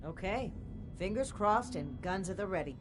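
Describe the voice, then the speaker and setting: A woman playing a determined, wry technical expert who gives instructions under fire in a video game, steady and purposeful. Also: medium pitch, moderate pace, close to an everyday voice. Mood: tense, focused. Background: game music.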